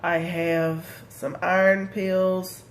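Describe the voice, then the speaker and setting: A woman's voice: on the low side, level tone, very slow, slight background noise. A woman in her thirties giving a casual video diary update, congested from a head cold and talking in a chatty, rambling way full of ums and likes. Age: 30s. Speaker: vlogger.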